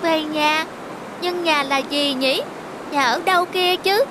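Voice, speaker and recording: A woman voicing a small, forlorn snail in an animated fairy tale, innocent and wondering. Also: high-pitched, slow, noisy background. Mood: puzzled, forlorn. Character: small naive homeless snail, puzzled and forlorn. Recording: music under the voice.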